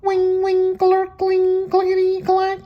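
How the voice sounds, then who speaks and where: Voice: very high-pitched, completely flat delivery, slow pace, dull audio, pitched above the performer's own voice. A storybook reader voicing a big old computer machine in sing-song clanking noises. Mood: mechanical clanking.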